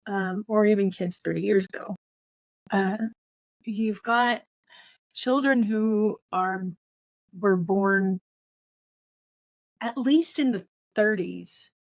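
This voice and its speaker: A woman in her forties co-hosting a casual book discussion, thinking aloud with trailing pauses. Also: mid-range voice, no echo, rough recording. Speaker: podcast co-host.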